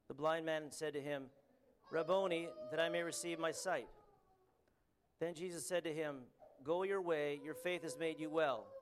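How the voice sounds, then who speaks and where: A man with a Canadian accent preaching a sermon and reading scripture, earnest and halting, with frequent ums and restarts. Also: fairly high pitch, noisy background.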